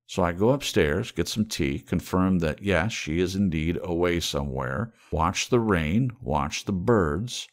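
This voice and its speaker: A man reading a work of fiction aloud as an audiobook narrator. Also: low voice.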